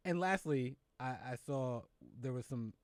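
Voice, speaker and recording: A man hosting a casual chat show about news and culture, animated, laughing often and stumbling over his words when excited. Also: mid-range voice, measured pace, no echo. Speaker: show host.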